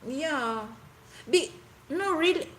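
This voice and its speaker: A woman's voice: high-pitched, expressive, slow pace, a bit bright. A woman from the Philippines with a Visayan Filipino accent, chatting on a live stream and stopping often to search for words in English. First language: Cebuano. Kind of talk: casual live stream chat with viewers. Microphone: phone.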